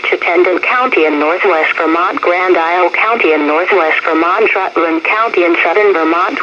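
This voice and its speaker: A synthetic female voice with an American accent reads scripted emergency weather warnings in a formal, measured way as a radio announcer. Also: on the low side. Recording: dull, noisy weather radio broadcast. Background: radio noise.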